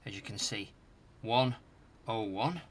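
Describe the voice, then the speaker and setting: A man's voice: fairly low pitch, slow pace, noisy background. A man giving a casual hands-on repair commentary, muttering to himself in short fragments as he works. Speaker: host of a retro tech repair channel.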